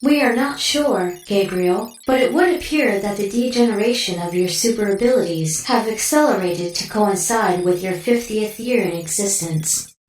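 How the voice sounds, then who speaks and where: A woman playing a superhero's AI assistant in an audio drama, formal, measured and impassive. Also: mid-range voice, soft background music, close to an everyday voice. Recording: distant, echoing, with faint background noise.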